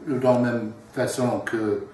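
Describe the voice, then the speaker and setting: A man whose first language is English, answering in slow, measured phrases that fade at the ends. He is well back from the mic in a strongly reverberant room. A faint noise sits beneath the voice. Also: mid-range voice, steady tone, a little mellow, recorded from a distance. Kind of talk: thoughtful interview answers.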